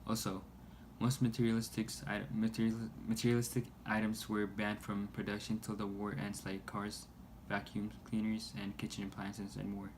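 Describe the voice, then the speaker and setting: A man reading a scripted news report aloud in a halting way, stumbling over words. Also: lowish voice, monotone. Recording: noisy amateur recording.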